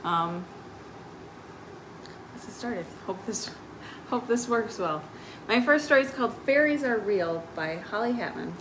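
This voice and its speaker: A woman's voice: medium pitch, expressive, a little slow, pauses often. Woman reading storytime, faint background noise. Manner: simple, explanatory, addressing young children. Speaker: librarian hosting a children's storytime. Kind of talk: picture-book read-aloud with informal asides. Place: live online stream.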